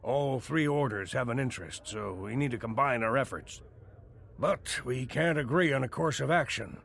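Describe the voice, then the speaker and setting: Earnest, gravelly Norn clan leader. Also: male voice, mid-range voice, normal speed, a bit bright, slight background noise. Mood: grave, urgent. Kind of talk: fantasy game dialogue. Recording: game audio with music behind.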